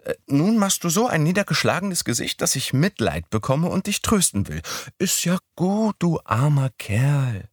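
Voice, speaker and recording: A man voicing a bold, whimsical young woman in an audiobook, with a raised, lively, teasing lilt. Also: on the high side, normal speed, no echo, clean studio sound, pitched above the performer's own voice. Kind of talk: audiobook character voice.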